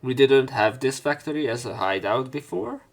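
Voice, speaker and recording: A man's voice: mid-range voice, animated, normal speed, creaky. A man playing through a visual novel, reading its narration aloud and adding casual, informal commentary of his own. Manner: informal, swearing in reactions. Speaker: let's player.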